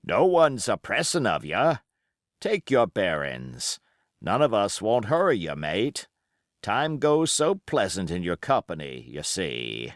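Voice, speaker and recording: A man's voice: fairly low pitch, wildly swooping pitch, takes it slowly, no echo, clean studio sound, exaggerated voice. A man voicing a wily old pirate captain, genial yet menacing, in folksy sailor talk for an audiobook. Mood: cajoling. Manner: folksy sailor dialect, cajoling, sly. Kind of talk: audiobook character acting.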